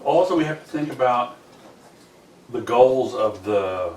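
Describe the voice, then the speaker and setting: Male meeting organizer, halting explainer, informal, off-mic in an echoing room. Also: mid-range voice, animated, darkish tone. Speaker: group organizer at a planning meeting. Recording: roomy, distant mic, faint room noise.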